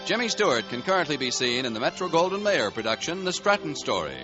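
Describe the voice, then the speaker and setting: Animated American male radio announcer. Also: fairly high pitch, fast, over music. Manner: formal, polished. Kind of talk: 1940s broadcast announcing, scripted. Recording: muffled 1940s radio recording.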